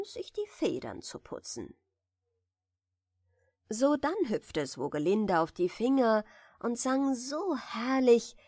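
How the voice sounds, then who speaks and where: A woman reading a fairy tale softly and soothingly as a bedtime audiobook narrator, in a measured way. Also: a little high, no room sound, studio quality. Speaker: audiobook reader of fairy tales.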